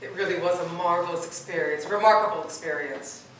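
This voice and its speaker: A woman's voice: fairly low pitch, quick pace, fairly bright tone, lightly acted. Woman acting a wistful stage wife, echoey and far off. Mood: rapturous. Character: wistful wife rapturous over her imaginary old lover. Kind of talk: stage play rehearsal acting. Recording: distant mic, echoey room, faint noise.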